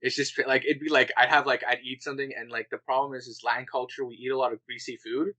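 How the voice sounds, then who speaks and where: A young man chatting on a podcast with a bright, forceful voice. He rambles casually, leans on "like" as filler and laughs often. His tone stays loose and friendly-paced. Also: mid-range voice, level tone, quick pace. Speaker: podcast co-host. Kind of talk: casual chat.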